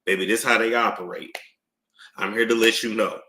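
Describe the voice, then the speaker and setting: A man giving casual live-stream commentary, emphatic and animated, repeating phrases for effect. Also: lowish voice, a little fast. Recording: roomy echo.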